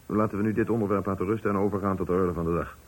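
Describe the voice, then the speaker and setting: A low, slightly rough-edged male voice as a company director in a radio drama. He is formal and calculating, talking down to staff in polished, patronising phrases. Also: steady tone, rapid-fire. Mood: brisk, condescending. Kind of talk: Dutch radio play acting.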